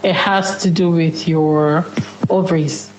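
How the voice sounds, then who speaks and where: A woman in her twenties, a Yoruba speaker, telling her personal story in an interview in a reflective, unhurried way, often trailing off and restarting. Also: lowish voice, steady tone, reverberant, noisy background. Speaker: interview guest.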